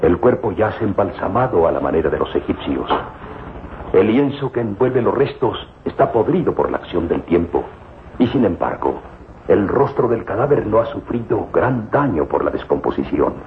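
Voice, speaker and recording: A man's voice: deep voice, big pitch swings, normal speed, a little hiss or hum. An actor playing a middle-aged archaeologist professor and father in an old radio serial, theatrical, formal and commanding, eager for discovery. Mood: solemn, examining. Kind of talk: old Spanish-language radio serial acting. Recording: lo-fi old radio, music underneath.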